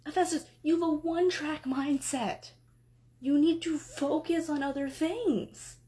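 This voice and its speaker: A woman voicing an exasperated goddess who scolds her husband, swinging between sharp outbursts and weary pleading. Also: high voice, a little slow, voice pushed up. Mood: incredulous. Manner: scolding, then pleading and tearful. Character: exasperated, emotional goddess wife.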